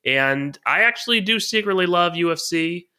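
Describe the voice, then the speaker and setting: A middle-aged man co-hosting a podcast, explaining his views at length in a measured way. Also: sits high, animated, bright, forceful tone, smooth. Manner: measured, long-winded explaining. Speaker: podcast co-host. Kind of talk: podcast talk and interviewing.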